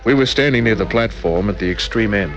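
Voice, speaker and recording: A man's voice: lowish voice, fairly quick, over music, lightly acted. Man playing wry radio detective, dull old recording. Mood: dry, narrating. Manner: dry, laconic, casual. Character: wry, easygoing male private detective narrating his case. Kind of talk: old-time radio crime drama narration.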